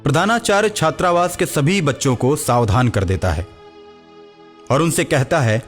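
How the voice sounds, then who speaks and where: A man's voice: on the high side, animated, moderate pace. A man narrating a scripted story as its narrator. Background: music.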